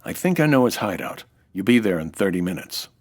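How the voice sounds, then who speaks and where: An actor playing a hard-boiled, wry American nightclub owner turned sleuth, in the terse style of a 1940s radio detective drama. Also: male voice, deep voice, very animated, brisk, darkish tone. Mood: determined, cool. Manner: terse, noir-style storytelling.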